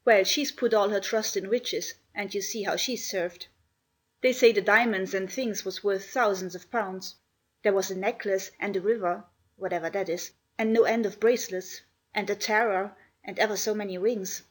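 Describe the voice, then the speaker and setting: A woman's voice: mid-range voice, fairly even intonation, moderate pace, breathy edge, natural voice. A woman doing a gossipy country housemaid. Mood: dark gossip. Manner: country speech, darkly dramatic gossip. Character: gossipy, dramatic female housemaid.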